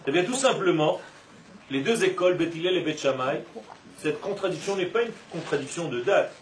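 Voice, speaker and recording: A man giving an animated religious lesson to a group, with rhetorical questions and drawn-out emphasis. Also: on the high side. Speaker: religious teacher giving a Torah class. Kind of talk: lively spoken lesson to a live group. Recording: roomy echo, distant mic, faint noise.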